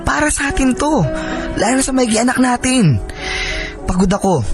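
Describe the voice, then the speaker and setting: A man's voice: very high-pitched, animated, normal speed, music in the background. A man playing a tired, defensive but caring working husband in a Tagalog radio drama, sounding exasperated. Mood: weary, exasperated.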